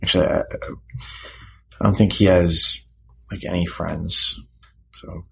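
An actor playing a moody, defensive high school boy in therapy, speaking in a hesitant, halting way in a naturalistic audio drama. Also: steady tone, gravelly, sharp tone, exaggerated voice, rough recording. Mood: defensive, reluctant. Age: teenager. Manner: hesitant, halting, repeats words.

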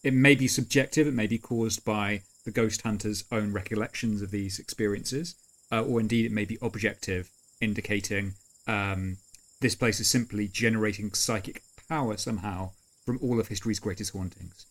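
A man's voice: lowish voice, fairly even intonation, moderate pace. A man improvising role-play as a player, describing his character in a tabletop game.

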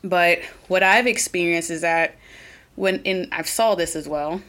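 A woman with an Oklahoma twang hosting her own solo podcast, talking earnestly and reflectively, pausing and restarting as she thinks aloud. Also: fairly low pitch, a bit bright. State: a little nervous. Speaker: podcast host.

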